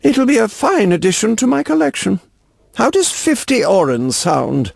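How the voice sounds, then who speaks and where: An actor playing a fussy, pompous, wealthy antiquities collector with a florid, genteel, pedantic manner, in a video game. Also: male voice, high-pitched, sing-song, normal speed, vocal fry, darkish tone, dry recording, studio quality, full character voice. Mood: pompous, delighted.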